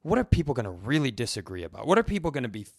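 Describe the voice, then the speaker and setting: A man's voice: medium pitch, sing-song, rapid-fire, no room sound, clean studio sound. A man co-hosting a casual comedy podcast, rambling and emphatic, with some swearing. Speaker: podcast co-host.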